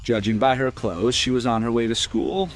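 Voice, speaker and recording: An actor playing a matter-of-fact police sergeant in a crime radio play, deliberate and analytical. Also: male voice, mid-range voice, steady tone, a little hiss or hum, close to an everyday voice, rough recording. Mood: analytical, sober.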